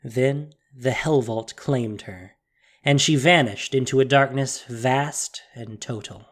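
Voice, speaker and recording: A man narrating a dramatic fantasy story solemnly, with deliberate pauses, over a music bed. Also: medium pitch.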